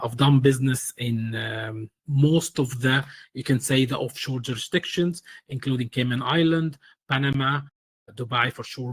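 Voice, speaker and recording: Husky-voiced man presenting a webinar. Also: mid-range voice, no room sound. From Egypt. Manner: halting, many fillers and restarts. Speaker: webinar presenter, tax expert.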